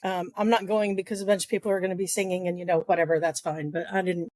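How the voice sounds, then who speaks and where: A woman's voice: fairly low pitch, little pitch movement, fast talker, darkish tone, no room sound. Woman, sniffly, podcast co-host, dry close sound, easygoing, hesitant. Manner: easygoing, laughs lightly, hesitant with ums. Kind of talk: casual book discussion chat.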